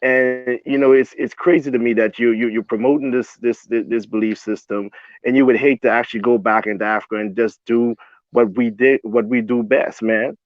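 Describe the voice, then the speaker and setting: A man's voice: mid-range voice, fairly even intonation, normal speed, no echo. A man speaking on a panel, arguing emphatically, repeating words and saying bro often. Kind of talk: heated panel debate. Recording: tinny phone-like line.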